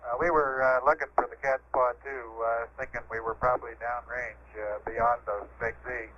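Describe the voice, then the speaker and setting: A man with a bright, mid-pitched voice talks as an astronaut in a tentative, halting way. He comes over a band-limited 1960s space radio with faint hiss. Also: steady tone, lo-fi sound. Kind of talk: space-to-ground radio conversation. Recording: thin, hissy 1960s space radio transmission.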